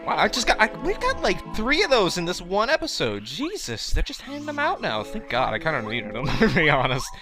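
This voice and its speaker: Rambling young gamer guy, lively pitch. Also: fairly quick, few pauses. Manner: rambling, joking, self-mocking, full of fillers. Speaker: let's play host. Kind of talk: casual gameplay commentary. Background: video game music.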